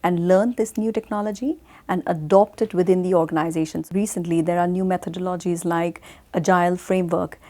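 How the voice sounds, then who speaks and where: A middle-aged woman from India answering interview questions in a measured way, often pausing with fillers. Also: on the low side, fairly even intonation, warm tone. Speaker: guest.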